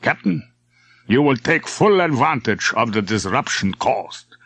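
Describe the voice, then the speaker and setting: Man acting a slow, imposing senior admiral. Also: medium pitch, sing-song, a bit bright, slightly rough, dry recording, full character voice. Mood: grave briefing. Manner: slow, thick-toned, grave and authoritative. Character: weary, imposing straight-backed German senior admiral. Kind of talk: audiobook character acting.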